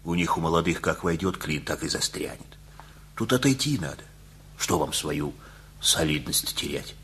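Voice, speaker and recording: An actor in a radio play as a kindly, folksy older male neighbour who preaches in an avuncular, colloquial way with a husky voice. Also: a bass voice, lively intonation, moderate pace, a bit bright, slight background noise. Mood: folksy advising.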